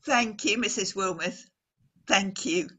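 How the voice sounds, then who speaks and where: Actress as a female radio news reporter, slightly husky, formal live commentary, dramatic pauses, awestruck radio drama delivery. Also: medium pitch, bright, forceful tone. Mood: polite, brisk. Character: woman radio reporter, breathless and awestruck at a scene.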